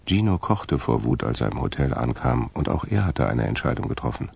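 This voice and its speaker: A man with a gravelly, creaky voice, narrating a radio crime drama from a script. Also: a bass voice, very animated, a little fast, a little mellow, full character voice.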